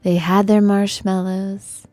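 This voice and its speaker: A woman narrating a children's bedtime story as a guided meditation, in a gentle, soothing, hushed manner. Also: mid-range voice, level tone, slow pace, dry recording, soft background music.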